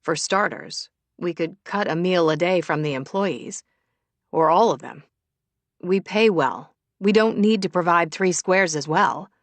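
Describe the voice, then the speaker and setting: A woman's voice: on the low side, no room sound. Woman voicing a reserved, businesslike man. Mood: blunt. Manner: measured, matter-of-fact. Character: reserved, businesslike, confident man, a numbers person.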